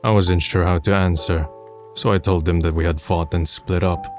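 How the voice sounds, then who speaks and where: A man playing a brooding young man tells his story in a low, deep voice. He is subdued and introspective, like the melancholy lead of a dubbed manga drama. Music plays clearly behind him. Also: steady tone, normal speed, a little mellow, close to an everyday voice. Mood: somber, introspective. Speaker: narrator and lead character. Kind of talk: first-person dramatic narration in an amateur manga dub.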